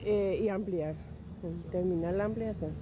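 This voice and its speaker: A woman giving quick, short answers in a street interview. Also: mid-range voice, noisy background.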